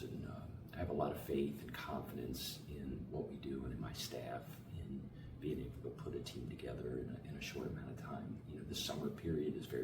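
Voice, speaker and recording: A very deep-voiced man, a basketball coach, talks in a loose, energetic, slangy way, repeating words and filling pauses. He sounds set back from the mic in an echoey space, with steady background noise. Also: creaky, distant mic. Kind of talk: casual interview answers.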